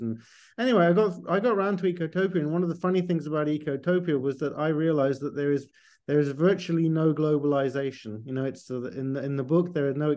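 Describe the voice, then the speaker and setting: A man co-interviewing on a video call from home, talking casually and haltingly, often rephrasing himself. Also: medium pitch, a little mellow. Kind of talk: casual, discursive interview talk. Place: indoors, book-lined room at home, on a video call.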